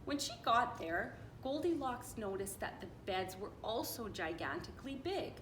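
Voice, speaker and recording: A woman reading a children's picture book aloud expressively, with dramatic pauses and playful asides. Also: fairly high pitch, big pitch swings, a bit bright. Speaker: storybook reader, teacher. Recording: roomy echo, clear background noise.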